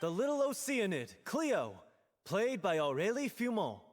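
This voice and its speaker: Genial theatre director, lively, bit high, calm. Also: male voice, unhurried, full character voice. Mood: announcing. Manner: announcer-like, then soothing.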